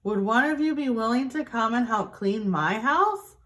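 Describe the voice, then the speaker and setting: A woman voicing a kindly, courteous hermit crab in a children's storybook, with gentle exclaiming politeness. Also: on the high side, expressive, normal speed. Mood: admiring, asking. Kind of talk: storybook character reading.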